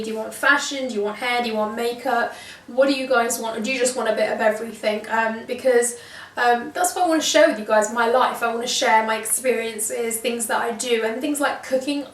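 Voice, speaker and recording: A woman in her early thirties from the UK, chatting to camera in a relaxed vlog with lots of ums and repeated words. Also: on the high side, brisk, distant mic. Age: 30s. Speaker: lifestyle vlogger. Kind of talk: casual chat to camera. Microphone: shotgun mic on camera. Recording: echoey room, mic set back.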